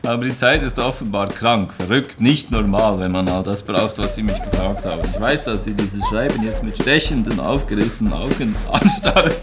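A man's voice: on the low side, normal speed, few pauses. A man in his forties reading an indignant letter aloud in an emphatic, repetitive, declamatory way. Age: 40s. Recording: music behind the voice. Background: music.

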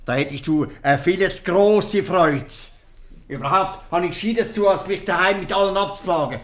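A man's voice: sits high, normal speed, lightly acted. A man playing a grumpy, stubborn old innkeeper with a Swiss German accent in a 1950s radio comedy. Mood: grumpy, indignant. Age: older. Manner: grumbling, sarcastic.

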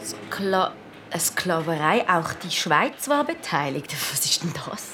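A woman's voice: lowish voice, sing-song, slow pace, music in the background. A woman playing a conceited, nosy newspaper reporter, pushy and prying, in a children's radio play. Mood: prying, suspicious.

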